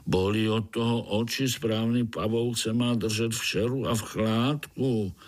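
A man's voice: mid-range voice, steady tone, close to an everyday voice. Man as a grumpy, drawling old spider. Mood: grumbling. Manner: grumbling, drawn-out, peevish. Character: grumpy old male spider leader, sullen complainer.

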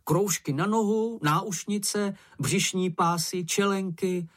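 A storybook reader voicing a gentle, naive young male troll child with an artless, enthusiastic manner. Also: mid-range voice, steady tone, pitched above the performer's own voice, natural voice. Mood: eager.

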